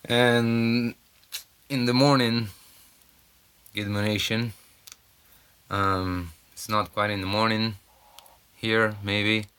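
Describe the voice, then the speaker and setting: Brazilian male podcast host, low voice, bright edge, loose halting monologue on a phone mic. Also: level tone, clean tone. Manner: rambling, halting, with many fillers and restarts. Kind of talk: casual solo podcast monologue. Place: off-grid home in the mountains. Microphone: cell phone.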